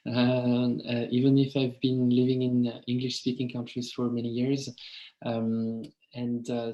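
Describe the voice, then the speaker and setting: Frenchman speaking haltingly, flat tone. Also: mid-range voice, echoey room, low-quality recording. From France. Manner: hesitant, many ums and uhs. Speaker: webinar speaker. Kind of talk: career talk on a video call.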